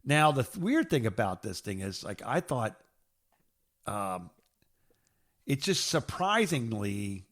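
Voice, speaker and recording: Halting, rambling middle-aged American man. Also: medium pitch, big pitch swings, fairly bright tone. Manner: halting, rambling, repeats words and trails off mid-thought. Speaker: podcast co-host. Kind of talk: casual phone-call chat.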